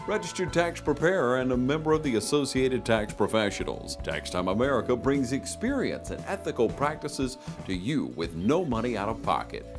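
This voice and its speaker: A man reading a scripted local television commercial as its announcer. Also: medium pitch. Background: music bed.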